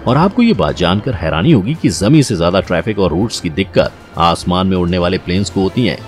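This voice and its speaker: A man's voice: sits low, a little mellow. A man reading a scripted documentary-style explainer, talking straight to the listener in an explanatory way. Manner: explanatory, addresses the listener directly. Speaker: narrator of an explainer video. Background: background music.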